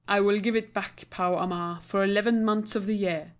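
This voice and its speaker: A woman reading a storybook aloud, voicing a stern old male magician who speaks in measured commands. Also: medium pitch, fairly even intonation, close to an everyday voice.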